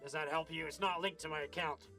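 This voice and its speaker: A performer playing Death as a petty, impatient customer in a comedy sketch, talking in a casual, halting, everyday way. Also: mid-range voice, fairly even intonation, pushed and bright, faint music behind, natural voice. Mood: grudging.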